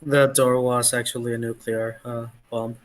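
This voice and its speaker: A man's voice: mid-range voice, flat delivery, moderate pace. A man running a tabletop game as game master, describing scenes with lots of hesitations. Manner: hesitant, full of fillers and restarts. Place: home setup on a livestream.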